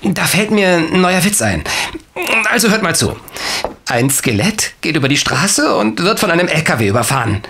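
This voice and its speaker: A man's voice: fairly high pitch, big pitch swings, moderate pace, bright, forceful tone, heavily acted. An actor playing a playful, joking young man among friends in a German audio drama.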